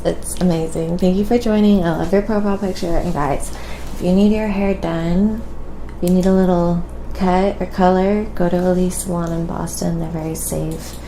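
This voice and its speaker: A woman's voice: lowish voice, mellow, rough recording. A woman hosting a live stream, chatting casually and informally with her viewers. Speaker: live stream host and painter. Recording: clear background noise, live stream.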